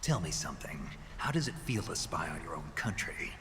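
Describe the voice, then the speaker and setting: A terse soldier, very deep. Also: male voice, big pitch swings, fairly quick, fairly bright tone, a little hiss or hum. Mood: dry. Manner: clipped, murmured delivery. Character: terse gruff male special-ops soldier. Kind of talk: video game cutscene acting.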